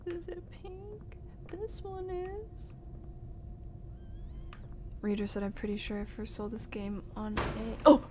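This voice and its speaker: A woman's voice: sits high, flat delivery, slow, long pauses, noisy background. A woman streamer giving casual live gameplay commentary, chatting to herself and her viewers in slangy asides. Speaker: video game streamer.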